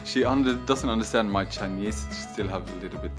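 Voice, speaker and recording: A young man with a Swedish accent, talking casually with his partner in an animated, exasperated way. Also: fairly low pitch, brisk, music in the background. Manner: animated, exasperated ranting with swearing. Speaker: vlog host. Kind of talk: casual couple chat.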